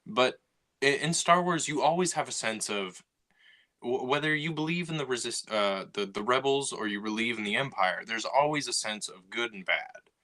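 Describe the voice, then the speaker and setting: Chatty podcast co-host, mid-pitched bright male voice, casual delivery with frequent restarts. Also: expressive, moderate pace. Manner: talkative, lots of "you know" and restarts. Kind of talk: casual chat.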